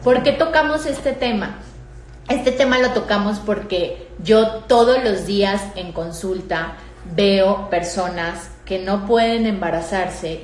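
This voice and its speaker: A woman's voice: mid-range voice, a little mellow, a little hiss or hum. A woman with a Mexican Spanish accent hosting an interview, engaged and questioning, often saying "o sea". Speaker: host. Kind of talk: interview conversation. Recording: echoey room with faint ambience.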